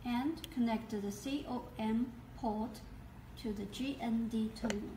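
Echoey, higher-pitched woman narrating instructions. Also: unhurried. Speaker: product demonstrator. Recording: echoey, distant mic, noisy background.

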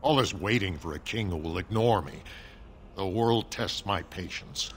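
A man's voice: deep voice, moderate pace, fairly bright tone, slight background noise. An actor playing a bitter, weary male dwarf trader in a fantasy video game, with a grumbling manner. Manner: grumbling, then apologetic. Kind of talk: video game dialogue acting.

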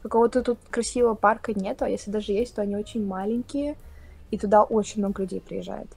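A young woman from Russia chatting casually on a video call, talking with lively hand gestures. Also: mid-range voice, quick pace, creaky, husky, soft background music. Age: young adult. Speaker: guest. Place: indoor room, plain wall.

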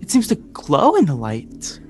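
A woman's voice: deep voice, huge pitch swings, measured pace, mellow, slight background noise, full character voice. A woman playing a loyal young sword-bearing companion, deferential and dramatic, in an audio drama. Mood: awed. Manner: dramatic, deferential archaic phrasing.

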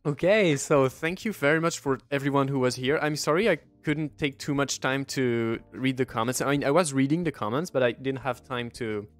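A man's voice: medium pitch, fairly quick, hardly any pauses, faint music behind. A man hosting a casual stream, upbeat and encouraging, laughing easily as he chats with his guest. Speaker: stream host.

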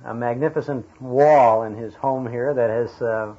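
A man's voice: medium pitch, steady tone, unhurried, dark tone, husky. A man interviewing guests conversationally, with hesitant, roundabout phrasing. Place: in a guest's home. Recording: dull lo-fi tape recording.

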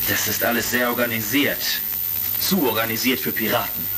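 A man's voice: medium pitch, a little fast, noisy background, close to an everyday voice. A man playing a resolute, shrewd heroic space commander in a retro sci-fi audio drama.

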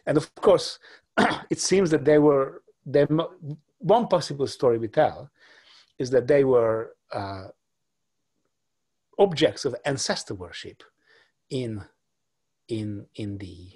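Husky hungarian-born guy lecturing on a video call, lots of ums and restarts, laptop mic at home. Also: mid-range voice, expressive, darkish tone. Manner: halting, many fillers and restarts. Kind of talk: online lecture with slides. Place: home room. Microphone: laptop webcam on video call.

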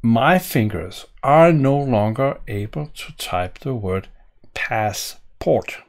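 Slow-talking Danish man coding. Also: medium pitch, expressive. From Denmark. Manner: musing, thinking aloud while typing. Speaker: programming tutorial host. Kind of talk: live coding walkthrough. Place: home office, indoors. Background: keyboard typing and clicks.

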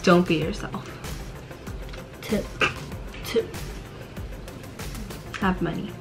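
Casting: female vlog co-host, playful teaser, relaxed slow delivery, mid pitch, background music. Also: vocal fry. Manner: playful, teasing. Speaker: co-host of a channel Q&A. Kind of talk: casual joking chat. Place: small dim bedroom, indoors.

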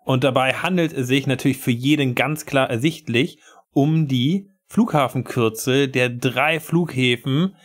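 Male, thirties, mid-range voice, casual podcast chat. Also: mid-range voice. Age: 30s. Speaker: podcast co-host.

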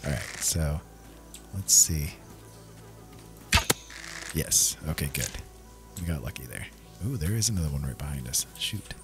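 A man's voice: a bass voice, animated, unhurried, pauses often, music in the background. A man giving casual, easygoing commentary on his own gameplay, talking to himself as he plays.

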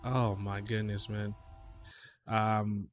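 A man in his thirties giving casual reaction commentary, conversational and reflective, speaking haltingly into a close desk microphone in a small home studio. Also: fairly low pitch, level tone, faint music behind. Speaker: music reaction host.

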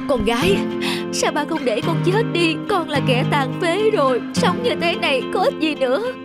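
A heartbroken young woman in a cartoon , voiced by a woman, speaking high and strained. Loud music plays under her. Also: animated, normal speed. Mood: despairing. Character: young woman, former model, now in despair.

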